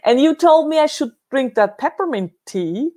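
A woman's voice: sits high, lively intonation, moderate pace, darkish tone. A woman hosting a casual conversational interview in a chatty, effusive way.

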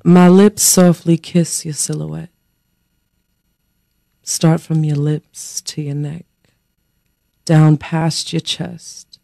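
A woman reading her own sensual poem aloud in a soft, unhurried way as a podcast guest. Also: low-pitched, vocal fry, no room sound, rough recording. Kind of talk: slow sensual poetry reading.

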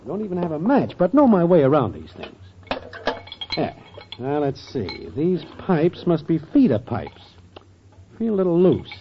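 An actor playing a cocky, glib small-time schemer boyfriend in a 1940s radio comedy. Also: male voice, a little high, huge pitch swings, measured pace, long pauses, full character voice. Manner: glib, self-important, clipped phrasing. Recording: muffled noisy old radio recording.

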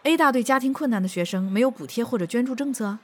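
An actress playing a sardonic, no-nonsense female personnel officer in an audio drama, brisk and probing. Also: a little high, darkish tone, lightly acted. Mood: probing, wry. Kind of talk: Chinese audio drama acting.

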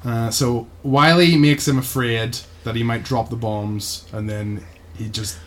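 A man's voice: mid-range voice, fairly even intonation, measured pace, fairly bright tone. A man co-hosting a podcast, casually recapping an episode in a mocking, sweary way and often checking in with "right?".

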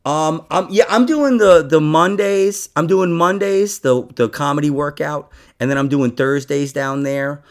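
A man's voice: on the high side, measured pace, few pauses. A man hosting a casual comedy podcast, joking and rambling as he chats with his guest. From New York.

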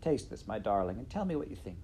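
A man voicing a gentle, loving queen mother in a storybook, speaking softly and tenderly. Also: fairly low pitch, sing-song, quick pace, a little hiss or hum. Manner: soft, tender.

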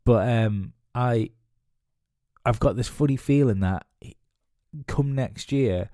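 A man's voice: mid-range voice, slow pace, mellow, dry recording, clean studio sound. A man hosting a solo podcast, talking casually with lots of fillers and restarts, nasal and sniffly from a cold. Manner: rambling, many fillers and restarts. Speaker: podcast host.

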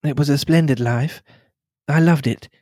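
A man reading the lines of a reserved young English wife, speaking quietly and in a measured way. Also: on the high side, slightly rough, dry recording. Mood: thoughtful.

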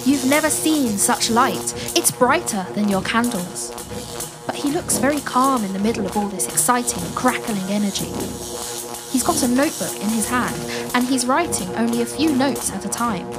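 A woman narrating a children's audio play as a storyteller, expressive and full of wonder, addressing listeners directly. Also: fairly high pitch, moderate pace, low-quality recording. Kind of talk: dramatic scripted children's storytelling. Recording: loud music and effects behind.

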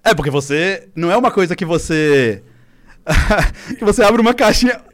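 A man's voice: sits high, normal speed. A man in his thirties from Minas Gerais, speaking as a guest on a podcast, telling stories with lots of filler words. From Minas Gerais, Brazil. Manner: animated storytelling, frequent filler words. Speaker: podcast guest, esports coach. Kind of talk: casual podcast chat. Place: podcast studio.

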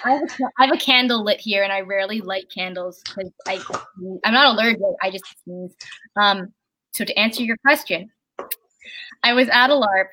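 A person with a very high speaking voice, chatting casually as a guest at home, rambling and halting with lots of fillers and restarts. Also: female voice, sharp tone, rough recording. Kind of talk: casual chat. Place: at home with a candle lit.

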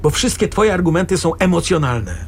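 A man's voice: high-pitched, expressive, a little fast, slight background noise. An actor playing a sarcastic, exasperated middle-aged father arguing with his wife in a radio drama. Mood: dry, reproachful. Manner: sarcastic, argumentative.

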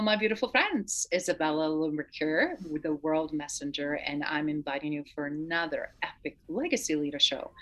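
A woman's voice: lowish voice, a bit bright. A woman with a Croatian accent, hosting an interview show in an enthusiastic style. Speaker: host.

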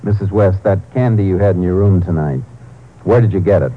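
Casting: unflappable stern lawman, deep bass, clipped firm delivery, 1950s radio drama, dull phone-like sound. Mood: stern, controlled. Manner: measured, terse, firm. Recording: very muffled, hissy vintage radio recording.

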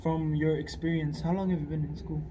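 A man's voice: fairly high pitch, little pitch movement, a little fast. A man hosting a casual podcast interview, asking questions and giving short encouraging replies. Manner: friendly, with short encouraging backchannels. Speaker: podcast host. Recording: clear background noise.

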